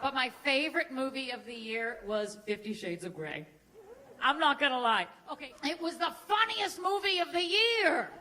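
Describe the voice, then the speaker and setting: A woman's voice: sits high, bright, forceful tone. A woman doing conversational, observational stand-up comedy.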